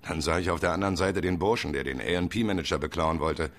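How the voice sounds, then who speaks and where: A deep-voiced, defensive suspect. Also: male voice, fast. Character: shaken man telling his side to police.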